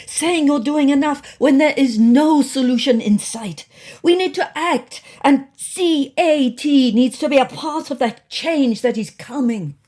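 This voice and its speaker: A self-righteous activist she-cat acted by a woman in an audio story, declaiming in a high, loud voice. Every phrase is hammered out with indignant emphasis and big swoops.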